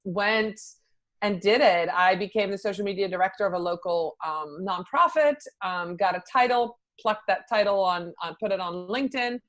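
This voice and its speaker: A woman's voice: medium pitch, normal speed, a bit bright. A middle-aged woman from the Netherlands, a social media consultant, answering interview questions in a chatty way with many fillers.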